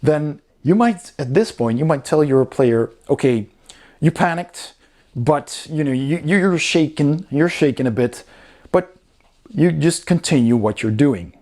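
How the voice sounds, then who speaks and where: A man's voice: a little high, lively intonation, unhurried, darkish tone. A man reviewing a game rulebook in a casual, explanatory way, talking informally as he goes through it.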